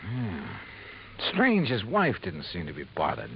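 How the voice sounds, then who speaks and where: A wry hard-boiled insurance investigator in a 1950s radio mystery. He needles people with dry quips and a lively, roaming pitch. The old broadcast sounds dull and hissy. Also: a little slow, gravelly, full character voice. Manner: dry, wisecracking. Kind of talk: 1950s radio drama acting.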